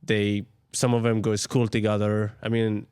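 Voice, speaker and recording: A young man from Jordan, a restaurant co-owner, talking as a guest in a soft-spoken way and searching for words. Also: lowish voice, completely flat delivery, normal speed, clear, resonant tone, no echo. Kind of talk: casual interview chat.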